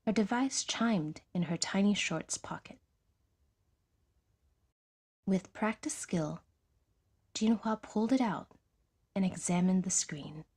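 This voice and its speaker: A woman's voice: medium pitch, darkish tone, slightly rough. A woman reading scripted fiction narration in the manner of an audiodrama storyteller.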